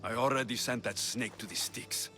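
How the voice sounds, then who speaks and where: A man's voice: medium pitch, normal speed, close to an everyday voice. A man voicing a vengeful, battle-weary ancient Greek warrior and grieving son in a dramatic video game, with grave, intense delivery. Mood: somber, weary. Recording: music under the dialogue.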